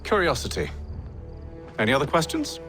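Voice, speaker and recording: An actor playing an imperious, sardonic middle-aged British Templar leader with a dry, measured delivery in a video game. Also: male voice, on the high side, huge pitch swings. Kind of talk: video game cutscene dialogue. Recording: dramatic music behind the dialogue.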